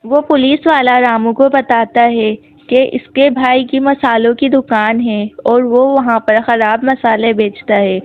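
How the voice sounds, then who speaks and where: A woman's voice: fairly high pitch, dry recording. A woman narrating a scripted moral story for children as a storyteller. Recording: thin, phone-like, dry audio.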